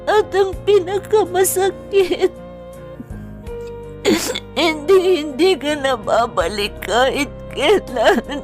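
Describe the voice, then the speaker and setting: A woman playing an anguished, bitter grieving mother in a Tagalog radio drama, with a drawn-out, emotional delivery. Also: high voice, animated, soft background music. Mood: anguished grief.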